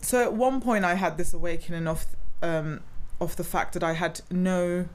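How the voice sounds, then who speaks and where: A woman's voice: on the low side, fairly even intonation, moderate pace. A young woman from Turkey, a designer, chatting as a podcast guest, rambling and laughing often. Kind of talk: casual interview chat.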